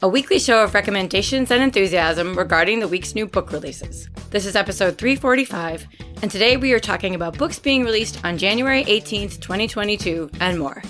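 A woman hosting a book podcast, hoarse from a lost voice, chatting eagerly and laughing often. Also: mid-range voice, lively intonation, a little fast, fairly bright tone, soft background music. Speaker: podcast host. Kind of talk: casual chat about new books.

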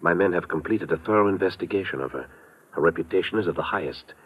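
An actor playing an earnest German police official in an old-time radio drama, speaking formally and precisely with a German accent. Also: male voice, deep voice, fast, close to an everyday voice. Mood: businesslike. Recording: muffled, noisy vintage radio recording.

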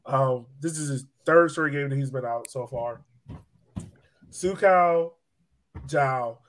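A man hosting a casual soccer discussion, conversational and steering the talk along. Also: mid-range voice. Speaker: podcast host.

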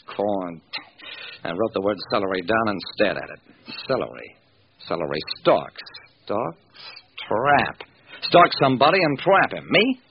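An actor playing a hard-boiled, wry private detective in an old-time radio drama, with sardonic, self-mocking, clipped tough talk. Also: male voice, fairly low pitch. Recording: muffled, hissy vintage radio recording.

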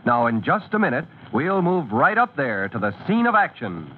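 A man's voice: fairly high pitch, sing-song, normal speed, a little hiss or hum. Tinny vintage radio announcer, dramatic man. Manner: dramatic, declamatory. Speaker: announcer and narrator. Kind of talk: 1940s radio narration, scripted.

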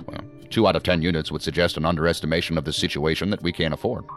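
Very deep-voiced, authoritative federal director. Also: male voice, steady tone, soft background music. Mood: commanding, businesslike. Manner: formal, deliberate, authoritative. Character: commanding, measured male senior federal agency director. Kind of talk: audio drama acting.

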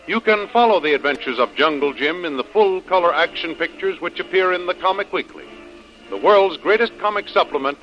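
A man's voice: on the high side, pushed and bright. Emphatic vintage radio announcer, male. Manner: emphatic, declamatory. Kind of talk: dramatic 1930s radio announcing. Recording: muffled old radio recording.

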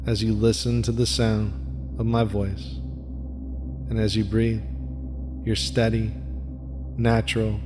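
A man leading a guided meditation in a soft, soothing voice with a slow, repetitive cadence. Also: on the low side. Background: faint music with gong.

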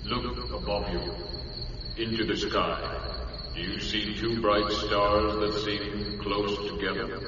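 An actor playing a solemn, guarded alien space traveler in a radio drama, speaking stiffly and formally through a reverberant translating machine. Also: male voice, lowish voice, level tone, sharp tone. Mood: solemn, patient. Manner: stilted, formal, halting, without contractions. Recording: echoing, muffled, distant, noisy radio recording.

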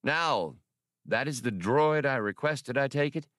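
A man's voice: mid-range voice, animated, a little slow, fairly bright tone, dry recording, clean studio sound, pitched above the performer's own voice. A man voicing a powerful male official who is smug, chatty and condescending, a coldly dangerous audiobook villain. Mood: businesslike, cold.

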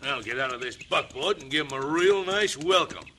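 A man's voice: fairly high pitch, expressive, fairly quick, natural voice. An actor playing a hot-headed, swaggering ranch foreman and gunman in an old-time radio western, with a Western drawl. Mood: belligerent. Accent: American Western drawl. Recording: old radio recording, faint noise.

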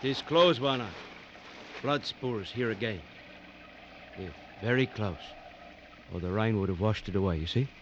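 A dull, slightly noisy vintage radio recording. An actor plays a male safari tracker, watchful and loyal, who speaks in terse, clipped phrases with a slightly low voice. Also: normal speed, long pauses, natural voice. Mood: watchful urgency. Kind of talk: 1950s radio drama acting. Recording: muffled, noisy old radio drama recording.

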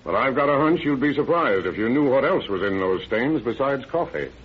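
An actor playing a shrewd, polite but firm American country sheriff questioning people in an old-time radio drama. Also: male voice, mid-range voice, lively intonation, fairly quick, over music, natural voice. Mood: guarded, firm. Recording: dull, noisy vintage radio recording.

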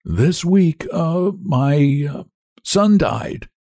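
A husky, strained voice, pitched somewhat high, belongs to a humble old sledge driver as a man acts him out. He is a grieving storybook figure who speaks haltingly and meekly. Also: animated, a little mellow, dry recording, clean studio sound, exaggerated voice. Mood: halting, sad. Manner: halting, meek.

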